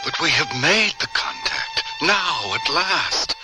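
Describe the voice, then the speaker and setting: An actor playing a desperate grieving husband pleading with his dead wife, slow and anguished, in old-time radio drama style. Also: sits high, huge pitch swings, music in the background, heavily acted, poor audio.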